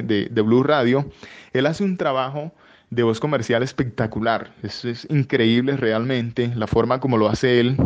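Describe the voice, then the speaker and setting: Colombian man, voice-over artist, halting interview talk, lo-fi recording. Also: mid-range voice, a little mellow. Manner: hesitant, many fillers and restarts. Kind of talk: interview answers.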